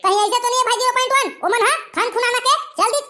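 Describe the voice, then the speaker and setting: A performer voicing an indignant, bewildered village labourer in a cartoon comedy, with a Chhattisgarhi accent and a pitched-up voice. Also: racing, crowd noise. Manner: rustic, complaining.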